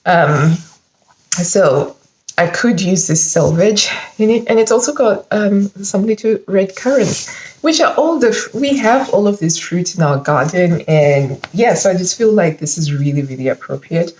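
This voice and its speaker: Brief: enthusiastic sewing vlogger, slightly bunged-up voice, lower-pitched, echoey home room. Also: female voice, a little slow, slight background noise. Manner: chatty, enthusiastic, animated with frequent laughs. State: slightly congested from hay fever. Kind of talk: casual fabric haul chat. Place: living room at home, indoors. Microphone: camera mic at close range.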